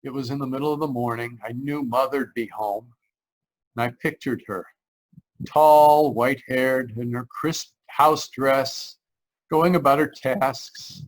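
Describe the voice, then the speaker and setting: An actor playing a frightened, desperate young driver in an old-style radio drama, halting and repeating his phrases. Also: medium pitch, steady tone, lightly acted, rough recording. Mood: dread, unravelling. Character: frightened, desperate young man driving cross-country, haunted. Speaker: actor narrating and playing the lead. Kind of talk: 1940s radio drama acting. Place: remote live performance over video call.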